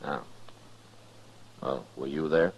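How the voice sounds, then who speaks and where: Casting: a frontier lawman for radio drama, extremely deep and husky, American, steady, plain-spoken, firm. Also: slow, muffled recording, full character voice. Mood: calm, probing. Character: calm, firm Old West marshal, grown man. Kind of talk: 1950s radio western acting. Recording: old radio drama recording.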